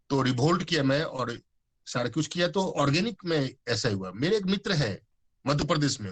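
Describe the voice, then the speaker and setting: A man's voice: a little high, fairly bright tone. A man, giving a conversational talk on a video call, full of fillers and English words. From Bihar, India. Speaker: guest speaker on organic farming. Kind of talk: informal talk to an online audience.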